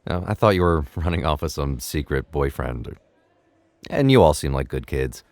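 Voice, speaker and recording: A man's voice: extremely low pitch, expressive, normal speed, a little mellow, no room sound. A man playing a bewildered, protective middle-aged father in an audio drama, stammering when flustered. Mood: soothing, relieved. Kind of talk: naturalistic audio drama acting.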